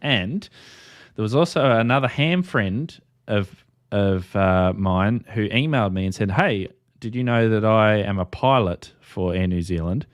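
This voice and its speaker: A man's voice: lowish voice, measured pace, no room sound, studio quality. A man with an Australian accent hosting an informal, chatty group conversation, with a joking way of talking. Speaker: host of a ham radio livestream. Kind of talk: casual group chat.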